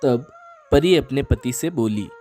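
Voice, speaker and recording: A man's voice: medium pitch, expressive, slow, warm tone, soft background music. A man telling a scripted children's animal story as its narrator.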